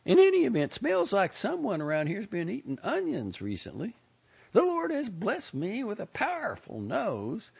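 A man's voice: high-pitched, wildly swooping pitch, moderate pace, creaky, a little mellow, breathy edge, dull audio, voice pushed up, full character voice. A man voicing a chatty, nosy, pious older Southern woman in an audiobook story, gushing and self-satisfied in a folksy rambling way. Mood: smug. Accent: American South.

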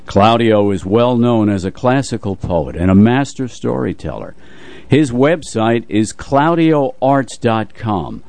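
An older man co-hosting a radio show, moving between relaxed chat and announcements. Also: medium pitch, unhurried, no room sound.

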